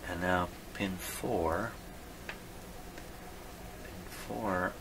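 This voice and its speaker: A man hosting a hands-on repair demonstration, thinking aloud in a halting, muttering way as he works. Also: sits low, creaky, noisy background. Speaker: host of a radio repair video.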